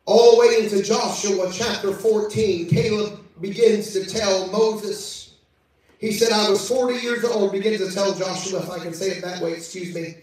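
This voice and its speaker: A man's voice: high voice, a little mellow, far from the mic. A man preaching a sermon in a church service, reading scripture aloud and calling out to the congregation. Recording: echoey church from off-mic.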